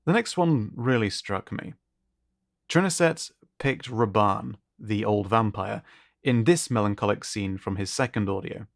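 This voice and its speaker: A man's voice: fairly low pitch, a little slow, vocal fry, no room sound, studio quality. A man presenting a showcase as its host, in scripted commentary that is appreciative and descriptive. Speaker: host of an art contest showcase.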